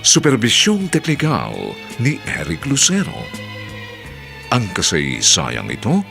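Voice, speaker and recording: A man narrating and announcing a radio drama in a measured, theatrical scripted style. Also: medium pitch, wildly swooping pitch, creaky, over music.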